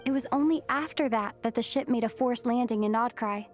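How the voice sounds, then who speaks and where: A woman's voice: on the high side, a little fast, close to an everyday voice. A woman voicing an earnest, thoughtful young female traveler in a video game cutscene, with a sincere, pensive delivery. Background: background music.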